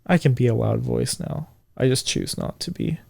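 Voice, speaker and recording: A man in his twenties co-hosting a casual podcast chat, swearing freely, his voice jumping high when he gets indignant. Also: moderate pace, vocal fry, darkish tone, slightly rough, dry recording. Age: 20s. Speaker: podcast co-host.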